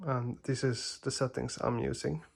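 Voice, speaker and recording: Hesitant man with a gravelly voice. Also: medium pitch, level tone, normal speed. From Sweden. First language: Swedish. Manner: hesitant, many fillers and restarts, apologetic. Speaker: TV reviewer. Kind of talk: casual product demo narration. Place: dark living room at home.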